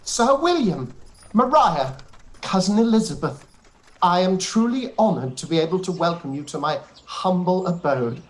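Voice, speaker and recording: A man's voice: high voice, very animated, normal speed, low-quality recording. A man playing a pompous, obsequious clergyman in a radio play, wordy and effusive. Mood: fawning, proud. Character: pompous, fawning male clergyman cousin, self-satisfied and obsequious. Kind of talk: radio play live read.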